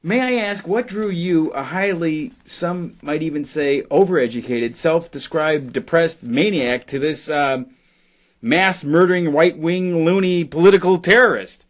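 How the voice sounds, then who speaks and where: A man's voice: fairly high pitch, a little slow, lightly acted. A man playing a wordy, pointed radio interviewer in a satirical audio drama. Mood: needling. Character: needling, pompous male radio interviewer. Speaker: actor.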